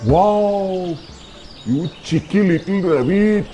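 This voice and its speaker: A man's voice: sits high, animated, takes it slowly, dark tone. A man voicing a greedy, easily outraged tiger uncle in a children's cartoon, theatrical and blustering. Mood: greedy, plotting. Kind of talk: children's cartoon character dialogue. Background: music behind the voice.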